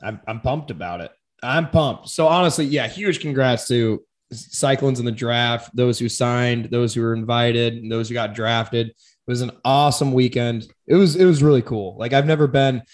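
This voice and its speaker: A young man co-hosting a casual sports podcast, animated and slangy, his voice a bit worn. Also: medium pitch, normal speed. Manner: animated, laughs often, slangy. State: voice partly lost. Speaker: podcast co-host.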